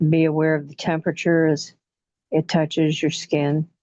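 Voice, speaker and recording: A woman leading a guided relaxation meditation in a soothing, unhurried manner, leaving long pauses between short phrases. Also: low-pitched, completely flat delivery, warm tone, no echo. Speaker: guided imagery facilitator. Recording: muffled, dull lo-fi audio.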